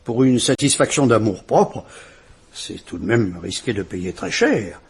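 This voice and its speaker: Police commissioner, measured, probing, husky, mid pitch. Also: male voice, very animated, heavily acted. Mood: questioning. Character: calm police commissioner questioning a witness. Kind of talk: 1950s French radio drama acting.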